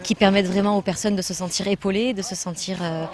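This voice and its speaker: A woman's voice: mid-range voice, steady tone, a little fast, darkish tone. A woman from France, a trainer with a building foundation, answering interview questions in an earnest, explanatory way with frequent hesitations.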